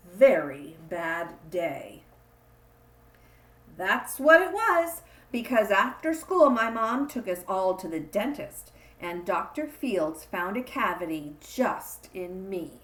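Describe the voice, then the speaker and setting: A woman reading a children's picture book aloud, with expressive emphasis on the repeated refrain. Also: fairly high pitch, echoey room. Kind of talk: read-aloud storytelling for kids.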